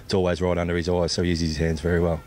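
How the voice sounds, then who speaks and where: A man's voice: low-pitched, completely flat delivery, racing, a little mellow. An Australian man, a cricket captain, giving a colloquial, laid-back sports interview outdoors. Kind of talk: post-play sports interview. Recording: clear background noise, outdoor ambience.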